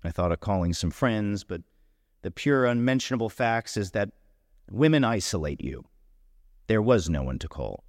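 A man's voice: fairly low pitch, lively intonation, normal speed, darkish tone, clean studio sound. Man reading fiction in a reverberant hall. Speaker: story reader. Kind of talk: literary short story read aloud, first-person narration.

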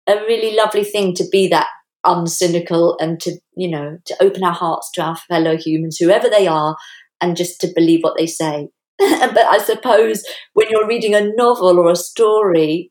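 A woman with a slightly low voice, recorded in a noticeably roomy space. She talks as a literary guest in a thoughtful podcast conversation, slipping animatedly into imagined replies to illustrate her points. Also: a little mellow. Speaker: guest novelist and writing teacher. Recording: roomy, echoey sound.

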